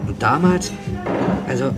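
Radio drama actor as male film director, opinionated, directive, mid-pitched, loud music behind. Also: animated, unhurried, close to an everyday voice. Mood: musing. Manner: directive, musing. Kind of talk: naturalistic radio drama dialogue.

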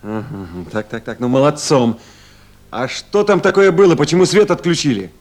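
Radio drama: brisk, kindly official on night duty, fairly high voice, very lively intonation. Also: male voice. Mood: attentive, businesslike. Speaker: actor. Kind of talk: 1970s Soviet radio play acting.

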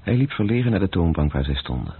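A man narrating a literary radio play in a measured, detached, sombre manner. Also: low voice, very animated, breathy edge, exaggerated voice. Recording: thin, phone-like old radio recording.

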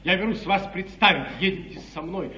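An actor playing an earnest, naive young English guards officer in a 1950s stage comedy, speaking in a declamatory storytelling manner. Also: male voice, sits high, fairly quick, bright, forceful tone, a little hiss or hum. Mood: amazed, eager. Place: theatre stage, live performance. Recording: distant, echoey, muffled 1950s theatre broadcast.

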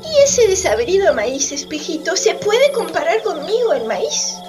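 A woman's voice: extremely high pitch, big pitch swings, unhurried, full character voice. An actress playing a vain, greedy, jealous queen, Sugar personified, imperious and self-adoring, in a theatrical radio drama. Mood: scornful, jealous. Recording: loud music bed.

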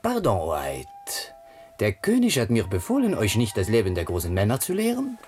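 Devoted tutor, earnest, low and very lively voice, faint music. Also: male voice, moderate pace. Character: devoted, anxious male tutor. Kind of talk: 1970s radio drama acting.

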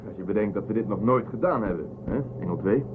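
A man's voice: on the low side, quick pace, lightly acted. A man playing an authoritative but courteous starship commander in a science fiction radio drama, giving clipped orders. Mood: commanding. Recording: thin phone-like, noisy sound.

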